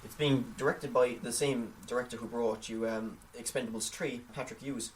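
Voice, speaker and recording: A man's voice: mid-range voice, fairly even intonation, moderate pace, slight background noise. A man co-hosting a casual film chat podcast, hesitant and often repeating words as he forms his point. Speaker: podcast co-host. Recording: voice slightly distant from microphone.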